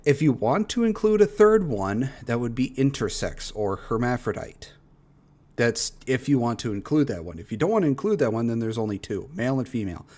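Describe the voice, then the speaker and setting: A man's voice: mid-range voice, very animated, fairly quick, a little mellow. Blunt, profane male streamer. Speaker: streamer reacting to videos. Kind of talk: casual, opinionated reaction commentary. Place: livestream reaction recording.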